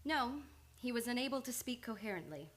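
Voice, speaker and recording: A woman acting in an old-time radio drama as a measured, determined psychiatrist in love. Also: on the high side, animated, a bit bright. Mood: guarded. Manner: measured, controlled, earnest. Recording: live radio play with music behind.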